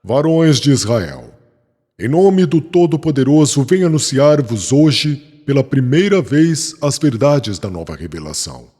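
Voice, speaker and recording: An actor with a Brazilian accent playing a fervent young former rabbi who preaches in a solemn, formal, declamatory way for a dramatized audiobook. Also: male voice, medium pitch, very animated, measured pace, warm tone. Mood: solemn proclaiming. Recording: music bed under the voice.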